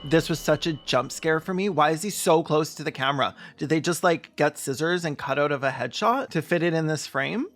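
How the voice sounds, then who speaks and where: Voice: mid-range voice, brisk, few pauses, fairly bright tone. A host giving comedic reaction commentary on a film in a campy, sarcastic way.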